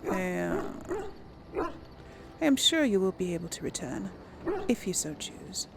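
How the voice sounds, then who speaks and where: A woman's voice: lowish voice, big pitch swings, takes it slowly, gravelly, background noise. A woman hosting a casual game playthrough, chatty and musing aloud as she reads out dialogue choices. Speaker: gaming video host and player. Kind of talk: casual let's play commentary, reading dialogue choices aloud.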